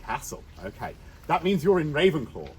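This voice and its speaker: A man's voice: a little high, big pitch swings, normal speed, crowd noise, rough recording. A man working as a tour guide outdoors, leading the group in a playful, theatrical way. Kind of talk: guided walking tour patter. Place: outdoors in a foggy graveyard.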